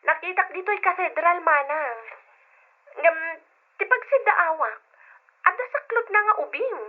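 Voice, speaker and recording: Casting: concerned young Ilocano informant, very high voice through a thin phone filter, vintage radio drama. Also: female voice, expressive, unhurried. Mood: worried, confiding. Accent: Filipino, Ilocano. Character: concerned younger woman, tattling, urgent telephone informant. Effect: telephone filter. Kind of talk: old-fashioned radio drama acting. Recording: thin, tinny phone line.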